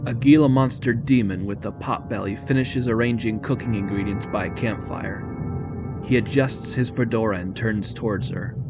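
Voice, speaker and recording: A man with a fairly low voice narrates a scripted audio drama. He tells the story in descriptive prose over clearly audible music. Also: moderate pace.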